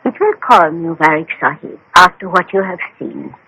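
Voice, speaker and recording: A performer playing the protective, pleading sibling of a fortune teller in a radio drama, speaking formally and gently. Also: mid-range voice, animated, normal speed, breathy edge, exaggerated voice. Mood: protective, urgent. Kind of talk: 1970s-80s radio drama acting. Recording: noisy old radio broadcast with clicks.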